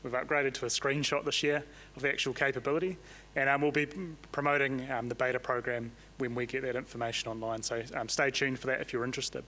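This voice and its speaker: A man with a New Zealand accent hosting conference sessions, speaking in a relaxed way with frequent ums. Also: mid-range voice, fast. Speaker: conference host. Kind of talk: casual presentation. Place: conference hall. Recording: long hall reverb, faint hum.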